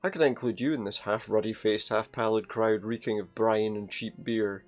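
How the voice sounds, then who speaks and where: A man's voice: on the low side, fairly even intonation, normal speed, faint music behind. A British man commenting on a game, reading the on-screen text aloud with sarcastic, sweary asides.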